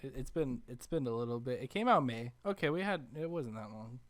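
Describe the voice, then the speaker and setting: A man's voice: mid-range voice, expressive, a little fast, fairly bright tone. Easygoing man hosting a casual podcast. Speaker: podcast host. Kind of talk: casual group chat and sponsor reads.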